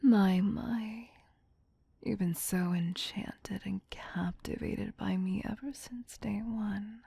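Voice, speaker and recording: A woman voicing a doting, possessive vampire queen in a breathy whisper, coaxing slowly and tenderly for an ASMR roleplay. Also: mid-range voice, fairly even intonation, mellow, dry recording, exaggerated voice. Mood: teasing, adoring. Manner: slow, tender, coaxing endearments. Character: doting, possessive vampire queen soothing her human pet.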